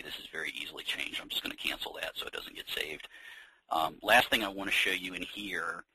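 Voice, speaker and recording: Low, husky American man, very bright delivery. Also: poor audio. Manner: conversational, explanatory, practical examples. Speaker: software trainer leading a webinar. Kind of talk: informal software demonstration, unscripted walkthrough.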